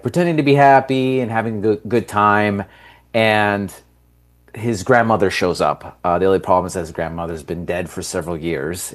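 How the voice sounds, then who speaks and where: A Canadian man whose first language is Italian, a film director chatting casually as a radio guest, rambling with many fillers. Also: fairly low pitch.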